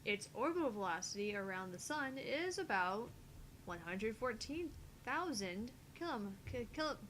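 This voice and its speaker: Female game read-along co-host, higher-pitched, playful and casual, reading lines aloud over slight rumbly noise. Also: big pitch swings, normal speed, fairly bright tone, rough recording.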